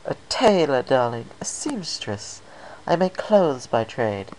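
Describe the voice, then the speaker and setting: A woman's voice: rumbling low, very animated, slow, darkish tone, a little hiss or hum, voice pushed up, heavily acted, poor audio. A woman voicing a refined, fussy female pony dressmaker in a genteel, theatrical way, drawing out her words. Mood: gracious, breezy.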